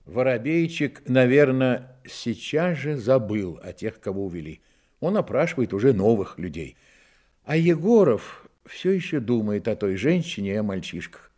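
A man narrates a radio play in a dark, mellow voice of medium pitch. His delivery is dramatic and highly varied in intonation. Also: big pitch swings, normal speed.

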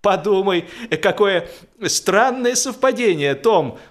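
Squeaky, plaintive tipsy young man. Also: lively intonation, takes it slowly. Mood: surprised. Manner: hesitant, plaintive.

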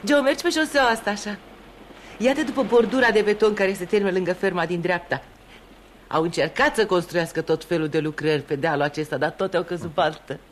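A woman's voice: on the low side, a little hiss or hum, natural voice. Actress as a wistful, world-weary woman. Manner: wistful, poetic. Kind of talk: Romanian radio theatre acting.

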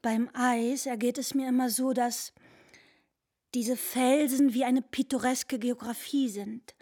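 Sensual young woman, high voice, unhurried, savoring, eloquent, playful, radio drama. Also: fairly even intonation, mellow. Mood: dreamy, sensual. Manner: savoring, eloquent, florid phrasing. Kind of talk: literary radio drama acting. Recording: studio radio play with music underneath.

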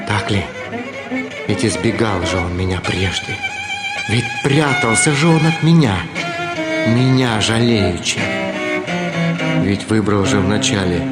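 A troubled middle-aged gentleman in a Russian radio drama. His low male voice is deliberate and intense, moving between murmur and outcry as he questions himself. Loud music plays behind him. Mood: bitter, musing. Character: brooding, agitated middle-aged gentleman reasoning feverishly with himself.

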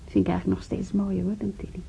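An actress playing a young nurse in a radio play, brisk and a little tart but also confiding. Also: female voice, medium pitch, flat delivery, creaky, mellow. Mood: soft confiding. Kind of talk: Dutch radio play acting. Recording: dull, noisy radio drama recording.

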